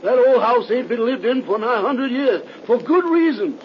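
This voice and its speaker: A man's voice: very high voice, animated, moderate pace, a little hiss or hum. A man playing a fearful, folksy rural driver with a Southern US accent, giving ominous warnings in a muffled 1930s radio drama.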